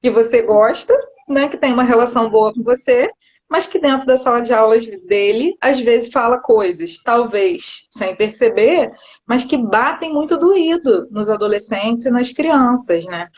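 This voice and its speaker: A woman teacher with a fairly high voice reflects and explains her ideas at length. She speaks over a live video call, and the audio comes through dull and lo-fi. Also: animated, a little slow, darkish tone. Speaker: schoolteacher. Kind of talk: casual conversation on a live stream. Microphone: phone or laptop on a live video call.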